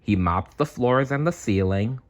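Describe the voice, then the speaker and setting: A man reading a children's storybook aloud in an expressive, playful way, with chatty asides. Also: medium pitch. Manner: animated, unhurried, playful.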